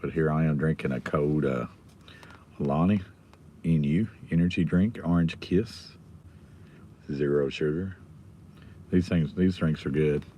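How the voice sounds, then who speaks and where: Hoarse, raspy deep-voiced man. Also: measured pace, long pauses, dark tone, slight background noise. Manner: rambling, chatting with viewers by name. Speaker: live stream host. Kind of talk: casual live stream chat.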